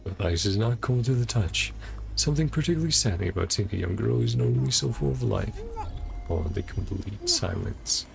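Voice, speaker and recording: A man's voice: fairly low pitch, lively intonation, brisk, creaky. A man streaming a game playthrough, reading dialogue aloud and commenting casually in offhand fragments. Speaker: gaming streamer.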